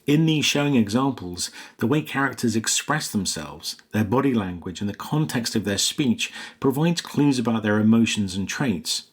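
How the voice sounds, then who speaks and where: A man narrating a scripted lesson as a writing coach, in a measured, explanatory way. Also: mid-range voice, animated, breathy edge.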